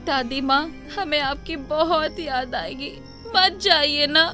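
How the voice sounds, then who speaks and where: A woman voicing an earnest, respectful young village girl in an animated moral story, speaking emphatically. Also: high-pitched, slow, over music, voice pushed up. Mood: pleading.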